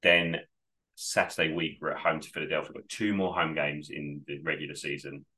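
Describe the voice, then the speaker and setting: Deep-voiced man with a lingering cold. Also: flat delivery, brisk, sharp tone. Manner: rambling, self-correcting, upbeat. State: recovering from a cold. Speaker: podcast co-host. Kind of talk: casual chat.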